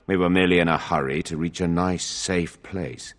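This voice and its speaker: A man's voice: sits low, steady tone, normal speed, lightly acted. An actor playing an arrogant, sneering nobleman in a video game, sly and drawling, in a reverberant hall. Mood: smug, mocking. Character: haughty, sneering male noble accused of murder.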